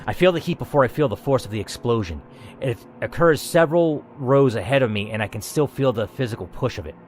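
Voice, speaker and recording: A man's voice: medium pitch, a little fast. Dramatic man narrating over background noise. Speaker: gaming video host. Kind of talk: narrating and reacting to a choice-based story game.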